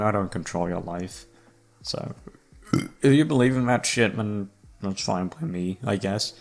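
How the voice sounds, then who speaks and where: A young man rambling casually to his listeners, with sweary, slangy, self-mocking talk. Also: low voice. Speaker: YouTube voice actor and creator. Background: background music.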